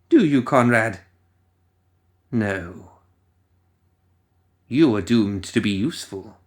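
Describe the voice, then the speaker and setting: Ancient male necromancer villain, acted by a male reader: menacing, musing, theatrical, slow, with wide swings in pitch. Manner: theatrical, rambling, coaxing menace. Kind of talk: dramatic audio story monologue.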